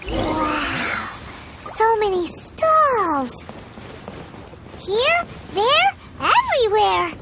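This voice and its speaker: A woman voicing a small, cheeky, childlike floating fairy companion with a squeaky, excitable delivery in a video game. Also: extremely slow, pauses often, heavy background noise, full character voice. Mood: excited. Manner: refers to herself in third person, excitable. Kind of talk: video game character dialogue.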